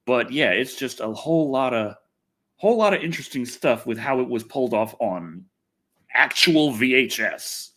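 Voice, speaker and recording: A man's voice: medium pitch, normal speed. A man hosting a casual gaming and movie news livestream, rambling and often interrupting himself as he talks. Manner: rambling, animated, self-interrupting. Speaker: livestream host.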